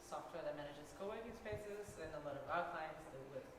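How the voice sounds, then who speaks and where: A man who works at a software company, introducing himself hesitantly and searching for words. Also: a little high, expressive, brisk, background noise. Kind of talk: casual self-introduction. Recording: echoey hall from far off.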